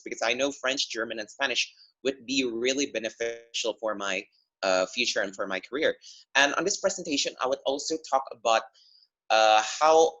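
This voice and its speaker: A man's voice: mid-range voice, bright, forceful tone. A young man in his twenties with an Indonesian accent gives an earnest webinar presentation, often restarting his sentences and saying "you know". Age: 20s. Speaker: webinar speaker. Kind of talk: presentation over a video call. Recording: dull lo-fi call audio.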